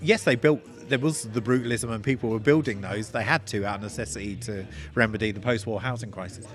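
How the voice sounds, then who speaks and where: A man with a cold and a nasal voice, a novelist guest talking enthusiastically and rambling about a film. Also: lowish voice. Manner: enthusiastic, rambling, full of restarts. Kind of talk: casual film discussion.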